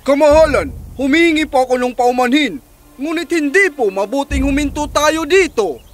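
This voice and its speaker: A man's voice: extremely high pitch, animated, normal speed, a little hiss or hum. A man voicing a deferential, cautious royal attendant, polite and apologetic, in a fairy tale cartoon. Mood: anxious.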